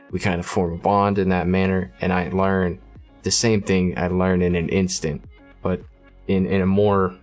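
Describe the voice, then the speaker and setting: A man in his thirties giving casual, slangy reaction commentary, thinking aloud into a close mic in a small room. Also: deep voice. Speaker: music reaction video host.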